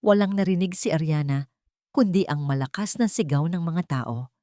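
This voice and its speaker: A woman's voice: fairly low pitch, moderate pace, warm tone, no room sound, studio quality. A woman reading a dramatic romance story as a scripted narrator.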